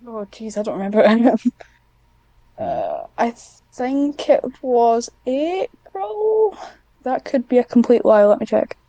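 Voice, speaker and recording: A woman's voice: a little high, sing-song, takes it slowly, dark tone, no echo, muffled recording. A woman chatting casually as an interview guest, informal and self-deprecating. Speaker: podcast guest, amateur script writer.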